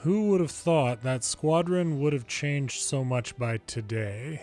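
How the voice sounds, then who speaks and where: A man's voice: medium pitch, a little slow, a little mellow, faint music behind. A man reading video game dialogue aloud dramatically, acting out each character's lines in turn.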